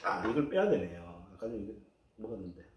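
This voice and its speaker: A man's voice: lowish voice, sing-song, fast. A middle-aged man giving casual commentary while eating at home, in short remarks between bites. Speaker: mukbang vlogger. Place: small room at home, indoors. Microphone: camera across the dining table. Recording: echoey room, faint background noise.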